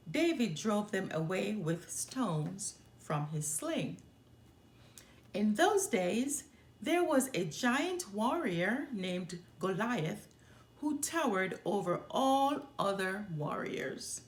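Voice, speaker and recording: A woman reading a children's picture book aloud as a storytime host, with deliberate, expressive delivery. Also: medium pitch, roomy sound.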